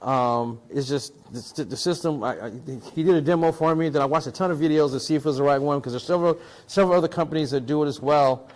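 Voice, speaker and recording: A man's voice: on the high side, quick pace, hardly any pauses, a little mellow. Rambling man hosting a casual unboxing. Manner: rambling, often restarts and repeats himself. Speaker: host of a tech product review show; audio and video production technician. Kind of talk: casual product unboxing talk.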